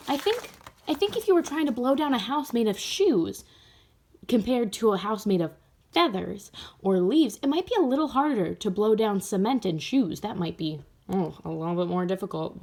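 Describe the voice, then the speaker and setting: A woman's voice: mid-range voice, expressive, moderate pace, darkish tone. A woman reading a storybook aloud for children, expressively, speaking directly to young listeners. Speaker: storybook reader. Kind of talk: animated children's read-aloud.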